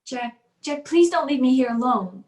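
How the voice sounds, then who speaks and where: Radio play lead: fearful wife played by a woman, fairly high voice, anxious, pleading. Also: expressive, moderate pace, darkish tone. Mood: uneasy. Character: frightened young wife convinced her husband will kill her. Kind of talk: old-time radio drama acting.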